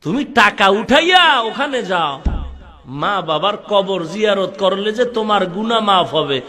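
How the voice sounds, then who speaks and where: A man with a Bangladeshi accent preaching an Islamic sermon, impassioned and pleading, moving between drawn-out chanted cries and plainer storytelling. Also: high voice, animated, bright, forceful tone. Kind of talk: emotive Bengali waz sermon with chanted, sung-out lines.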